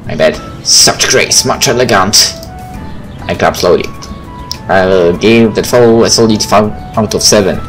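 A man's voice: fairly low pitch, measured pace, a bit bright. A man doing a let's play, reading visual novel text aloud and adding casual comments. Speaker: gaming video creator. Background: game music.

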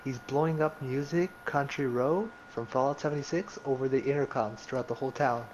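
A man chatting casually as he plays a video game, excitable and foul-mouthed, repeating himself often. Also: medium pitch, a little fast, a little hiss or hum, poor audio. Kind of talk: casual gaming chat with friends.

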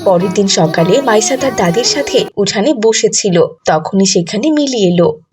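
A woman's voice: mid-range voice, lively intonation, normal speed, music in the background. A woman narrating a scripted moral story for children.

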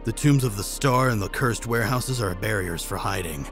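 A man's voice: fairly low pitch, normal speed, loud music behind the voice, natural voice. A man voicing a cocky, cold-blooded assassin in a manga dub, with a nonchalant, taunting delivery. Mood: boastful explaining. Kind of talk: amateur anime manga dub acting.

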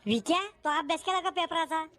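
A sharp-tongued village schoolgirl in a cartoon, voiced by an actor. Her voice is very high and she scolds in a sassy way, with faint noise behind her. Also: brisk.

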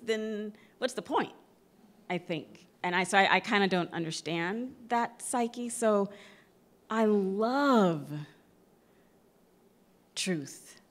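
A middle-aged woman, an actress, speaking off the cuff on a panel with expressive emphasis that moves between loud and hushed. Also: on the high side. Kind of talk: unscripted panel discussion. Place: large theatre stage before an audience. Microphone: microphone on a stand, close.

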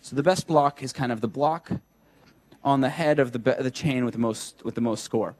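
A man's voice: medium pitch, moderate pace, darkish tone. A young man giving a technical talk at a meetup, thinking aloud with many fillers and restarts while he draws on a flip chart. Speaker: speaker at a tech meetup. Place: meetup room with a projector.

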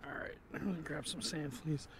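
A man's voice: fairly high pitch, brisk, background noise. A man vlogging while fishing on an outdoor pier, chatting casually and muttering to himself, recorded on a head-mounted action camera. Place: outdoors on a pier over an inlet.

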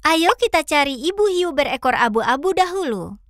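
A woman's voice: high-pitched, normal speed, soft background music. A woman voicing a perky, caring young fox who hosts a children's cartoon and talks to the viewers. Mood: cheerful, curious. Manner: animated, clear, addressing children.

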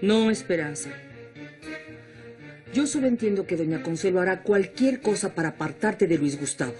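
An actress playing a kind older woman in a telenovela, calming a distressed young woman. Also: lowish voice, moderate pace, soft background music, lightly acted. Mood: consoling. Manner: gentle, soothing. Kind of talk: 1990s telenovela acting.

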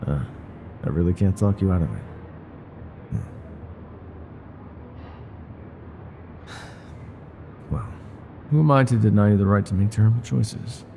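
A man playing a world-weary, gruff but secretly tender older ex-mafia bartender in an intimate, confiding audio roleplay. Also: lowish voice, sing-song, brisk, mellow, a little hiss or hum. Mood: resigned, wryly fond. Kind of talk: intimate ASMR roleplay monologue.

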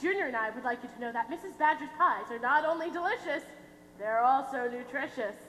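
Young woman playing a shrill, melodramatic mother. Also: expressive, brisk, a bit bright. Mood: saccharine. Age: young adult. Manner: theatrical, overblown. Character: gushing, melodramatic, scheming mother putting on refined charm. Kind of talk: high school stage comedy acting. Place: theatre stage, recorded from the audience. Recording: echoey hall, faint noise.

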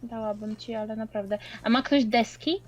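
A woman's voice: fairly high pitch, fairly even intonation, normal speed, darkish tone, lo-fi sound. A woman chatting casually while gaming, slangy, dropping in English words and swearing. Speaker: co-player in a multiplayer game.

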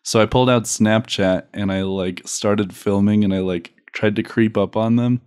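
A man's voice: on the low side, steady tone, moderate pace, darkish tone, clear, resonant tone. Young man, casual giggly storyteller. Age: young adult. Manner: giggly, rambling storytelling with frequent "like". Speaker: podcast guest. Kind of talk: casual joking podcast chat.

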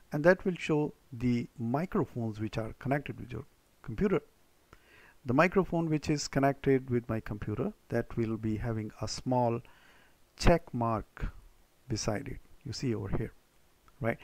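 A man with a medium-pitched voice presents a how-to computer tutorial. He goes through it step by step, restating each instruction before the next. The recording is dry, with little room sound. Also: darkish tone, no echo.

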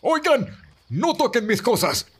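Man as a husky, defiant captive. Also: very high-pitched, sing-song, unhurried, a bit bright, heavily acted. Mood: alarmed. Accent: Latin American. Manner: earnest, dramatic. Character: frightened, defiant man pleading with his captor. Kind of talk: amateur animated fandub acting.

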